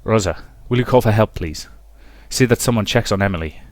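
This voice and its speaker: Soft, low, protective gentleman, voiced by a man reading. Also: close to an everyday voice. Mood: tender, reassuring. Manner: soft, slow, coaxing. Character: gentle, protective man consoling a loved one. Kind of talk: dramatic reading of visual novel dialogue.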